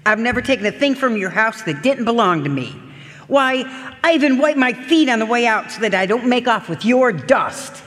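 A woman's voice: fairly high pitch, animated, normal speed, a bit bright. A woman playing an indignant, folksy household servant in a live radio mystery. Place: live performance hall. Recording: reverberant stage in a large hall.

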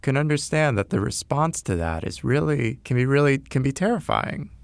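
A man hosting and narrating, asking earnest, probing questions in a measured, reflective way. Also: mid-range voice, very animated, creaky, clean tone, no room sound. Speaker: host and narrator. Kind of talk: reflective documentary narration and interviewing. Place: lives in a city neighborhood.

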